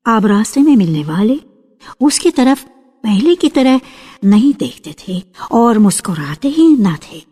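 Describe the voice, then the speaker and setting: A woman narrating a literary story for radio in a measured storytelling manner. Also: a little high, sing-song, dark tone, breathy edge. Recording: reverberant archival radio recording with music.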